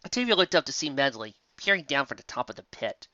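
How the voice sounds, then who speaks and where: Guy reading a story, lively and animated, dry dull sound. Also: medium pitch, fast, no room sound. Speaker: audiobook reader. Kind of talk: storybook narration.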